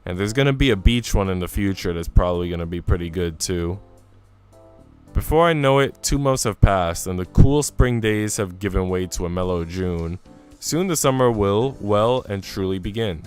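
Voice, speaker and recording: A man's voice: low-pitched, expressive, normal speed, clear, resonant tone. A man streaming, reading a visual novel aloud with casual, chatty asides. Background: music.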